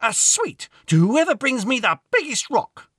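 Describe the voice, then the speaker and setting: A man putting on a high-pitched bossy little girl. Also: very animated, a little slow, a bit bright, no echo, studio quality, full character voice. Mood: bossy, imperious. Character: bossy eight-year-old girl, imperious playground queen.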